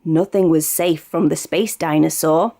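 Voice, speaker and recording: A woman with a British accent reads a rhyming children's picture book aloud in an expressive, sing-song storytelling way. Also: low voice, measured pace. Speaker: storybook reader.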